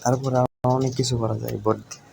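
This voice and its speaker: Flat-voiced Bangladeshi man explaining step by step. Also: medium pitch, quick pace, vocal fry. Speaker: tutorial presenter. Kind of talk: informal screen-recording tutorial narration. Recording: home recording with faint background noise.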